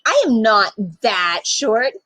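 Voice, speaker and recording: A woman voicing a small, self-respecting young girl in a children's storybook, speaking calmly but firmly. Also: on the high side, takes it slowly, dry recording, full character voice. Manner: calm but firm.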